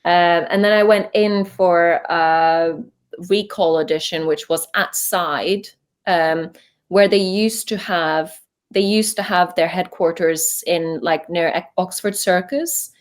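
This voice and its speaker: Young woman, Norwegian accent, lower register, loose chatty interview delivery with restarts. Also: fairly even intonation, slow. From Norway. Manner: chatty, with many fillers and restarts. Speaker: voice actor guest. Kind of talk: casual interview chat. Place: home voice booth.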